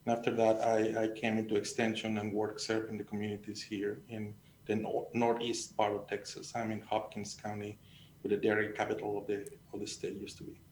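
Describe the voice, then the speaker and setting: Man, Mexican accent, earnest and halting, flat melody, conversational storytelling, echoey recording with faint noise. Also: on the low side. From Sonora, Mexico. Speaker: panel guest, agricultural extension educator.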